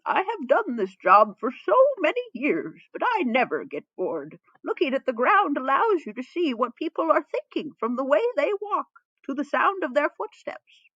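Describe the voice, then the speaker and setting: Woman putting on a slow, wise old man. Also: sits high, very animated, fairly bright tone, dry recording, lo-fi sound, pitched above the performer's own voice. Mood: sage, contented. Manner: slow, measured, sage. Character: contented, wise elderly male palace servant.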